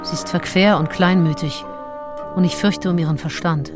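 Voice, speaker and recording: An actress playing a self-absorbed, unsentimental 19th-century gentlewoman who reads from her diary in a radio drama. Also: lowish voice, fairly even intonation, moderate pace, music in the background, natural voice. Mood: detached, dry. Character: Anne Lister, self-absorbed, coolly candid 19th-century English gentlewoman diarist.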